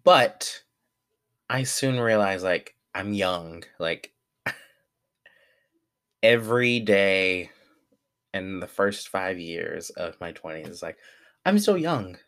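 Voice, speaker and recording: Deep-voiced man in his twenties. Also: slow, long pauses, no room sound, studio quality. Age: 20s. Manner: relaxed and candid, with frequent fillers and self-corrections. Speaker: vlogger. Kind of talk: reading his own essay aloud, then casual reflection.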